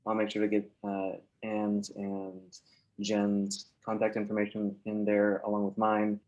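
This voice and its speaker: A man with a somewhat low voice hosts a casual interview, asking questions in a relaxed, chatty way. He grew up in Oregon and works as a real estate agent. His talk is loose, with frequent fillers and restarts. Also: a little slow, dull audio. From Oregon, USA.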